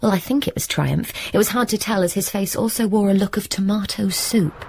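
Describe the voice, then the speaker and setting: An actress playing a wry, scheming young woman who narrates and talks in a deadpan, wordplay-heavy radio comedy drama. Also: medium pitch, brisk, gravelly, a little hiss or hum, natural voice. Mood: wry narrating.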